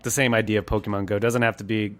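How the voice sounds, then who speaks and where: A man's voice: lowish voice, flat delivery, quick pace. A man co-hosting a podcast, talking in a casual, conversational style. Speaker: podcast co-host. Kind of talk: casual chat.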